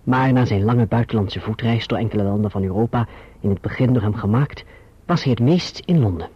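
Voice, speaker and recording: An actor playing an earnest, courteous young gentleman who also narrates his own story in a Dutch radio play. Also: fairly low pitch, a little fast, slight background noise, natural voice. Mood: composed, reflective. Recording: muffled old radio recording.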